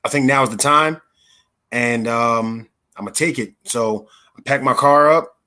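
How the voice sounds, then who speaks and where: A man in his thirties from Philadelphia, telling his life story in a relaxed, chatty way as an interview guest. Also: mid-range voice, measured pace. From Philadelphia, USA. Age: 30s. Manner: relaxed, chatty, with fillers and laughs. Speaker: interview guest, actor and performer.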